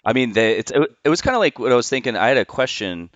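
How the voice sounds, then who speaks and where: A man's voice: medium pitch, animated, fast, fairly bright tone, no echo, highs cut off. A man hosting a casual podcast interview, laid-back and conversational, swearing freely. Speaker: podcast host.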